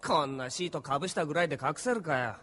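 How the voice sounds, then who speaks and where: Irreverent young rebel grumbler, husky, fairly high, swinging delivery, anime radio drama in a reverberant hall. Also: male voice. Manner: rough, slangy, animated. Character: brash, grumbling young male resistance fighter, cocky and irreverent. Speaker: voice actor. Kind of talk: Japanese anime audio drama acting.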